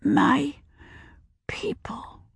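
A woman voicing a calm, serious young girl who speaks softly, mostly in hushed tones, in an audiobook reading. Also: sits high, slow pace, vocal fry, no room sound, pitched above the performer's own voice, full character voice.

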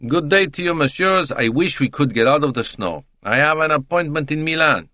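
A man putting on a garrulous salesman, his voice pitched well above the reader's own and bouncing with excitable chatter. He speaks, full of broken phrases and scorn, in a dry, close recording. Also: fairly quick, no echo. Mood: chatty. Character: chatty Italian male salesman, talkative, scornful.

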